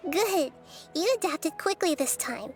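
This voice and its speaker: A little childlike spirit in an anime fantasy game, far older in manner than in sound. The voice is very high, measured and knowing, with a gentle air of mystery. Music plays clearly behind it. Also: female voice, exaggerated voice. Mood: approving. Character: small wise childlike girl spirit, gentle and enigmatic.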